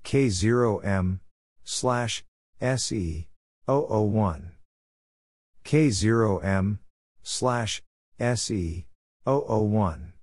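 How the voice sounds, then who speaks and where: A man with a fairly low, slightly husky voice reads scripted radio exchanges as a practice instructor. He goes very slowly, setting each letter and number apart in a deliberate, measured way. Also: darkish tone, no echo, clean studio sound. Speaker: Morse code practice instructor.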